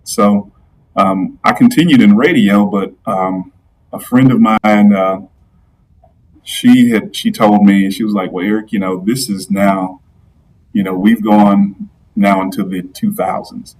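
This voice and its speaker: A man with a deep, low baritone tells his story easily and enunciates with an announcer's care. He speaks over a remote video call, a little distant from his mic, with a faint hiss of room sound behind him. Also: steady tone, measured pace. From Georgia, US. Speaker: guest, live streamer and football PA announcer. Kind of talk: casual interview chat.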